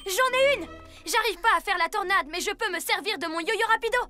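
A woman voicing an eager, determined six-year-old boy superhero in a children's cartoon, earnest and excitable. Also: very high-pitched, fairly quick. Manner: earnest, excitable, calls out moves. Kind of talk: French-dubbed children's cartoon acting. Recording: music behind the voice.

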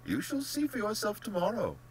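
Casting: smug, genteel storybook general, cold-blooded and pompous, forceful tone with big swoops, faint hum behind. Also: male voice, deep voice, sing-song, slow, voice pushed up, exaggerated voice. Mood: blandly menacing. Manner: blandly polite, pompous, theatrically emphatic. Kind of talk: dramatic audiobook character acting.

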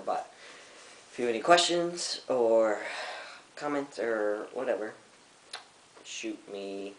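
A young man in his twenties sits on a living-room couch and talks to his camera in a casual vlog, halting and full of fillers. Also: fairly low pitch. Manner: halting, many fillers and restarts. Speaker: vlogger. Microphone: camera in front of him. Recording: roomy with faint hiss.

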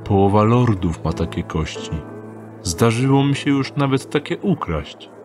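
An actor playing a skeptical male rogue in an audio drama, measured and dry in his speech. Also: mid-range voice, animated, lightly acted. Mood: wary. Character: wary young male rogue Dieter, dry and calculating. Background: music.

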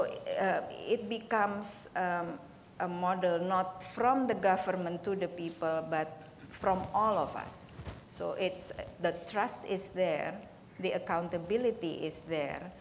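A woman in her thirties speaking as a conference panelist, earnest and hesitant, filling pauses with many ums. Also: fairly low pitch, in a large hall, slight background noise. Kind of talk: panel discussion answer. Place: conference room.

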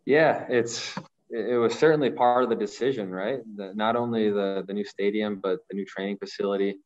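A man with a very low voice answers press questions over a slightly dull, lo-fi video call. He talks in a measured way, often restarting and repeating words as he gathers his thoughts. He speaks like a professional athlete from Ohio. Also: steady tone, a little mellow. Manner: measured, repeats words while thinking, many fillers. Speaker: professional goalkeeper. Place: small room, branded backdrop. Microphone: video call, masked.

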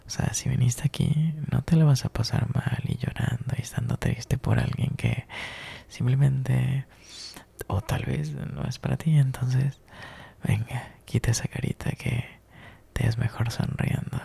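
A man doing an intimate ASMR roleplay close to the mic, breathy and mostly whispered, with a flirtatious, teasing, hesitant delivery. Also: medium pitch, steady tone, unhurried, dark tone. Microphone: close ASMR microphone.

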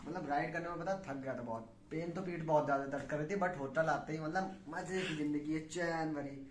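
Young guy vlogging on his phone, slightly high voice, noisy background, super casual and slangy. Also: a little fast. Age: young adult. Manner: informal, slangy, addressing viewers as friends. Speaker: travel vlogger. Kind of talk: casual vlog chat to viewers. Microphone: phone held at arm's length.

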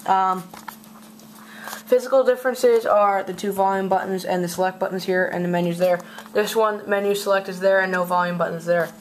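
A speaker casually showing off a collection on a handheld camera at home, halting and often clearing the throat or coughing. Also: medium pitch, fairly even intonation. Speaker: video host showing a collection. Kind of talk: casual handheld product show-and-tell. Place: indoors at a desk in a home room. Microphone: handheld camera's built-in mic. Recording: noisy home camera audio, clicks.

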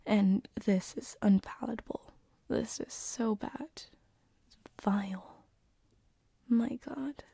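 Woman as a slow, whispering, teasing demoness. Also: mid-range voice, mellow, dry recording, heavily acted. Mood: disgusted. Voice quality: breathy whisper. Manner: slow, soothing, hesitant, teasing. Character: gentle, teasing female sleep paralysis demon who feeds on dreams. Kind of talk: whispered ASMR roleplay. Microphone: close binaural microphone.

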